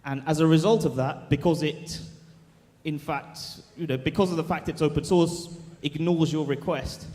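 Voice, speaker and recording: A man in the audience asks a detailed question in a slightly raised voice. He repeats words and rephrases as he tries to make himself clear. His voice carries long reverb from a large lecture hall. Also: fairly high pitch, fairly even intonation, moderate pace, a little mellow. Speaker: audience member asking a question. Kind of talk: question from the floor.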